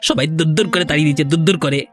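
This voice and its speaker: A man's voice: fairly high pitch, rapid-fire, lightly acted. A man voicing a humbled, destitute villager who pleads plaintively in a cartoon. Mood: miserable. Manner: plaintive, repeats himself. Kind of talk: Bengali fairy-tale cartoon voice acting. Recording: faint music behind the voice.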